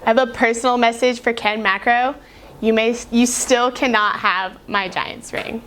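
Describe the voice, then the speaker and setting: A woman's voice: a little high, fairly even intonation, normal speed, a bit bright. A young woman, a graduating student, introducing herself in a light, teasing way. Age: young adult. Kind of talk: casual personal introduction.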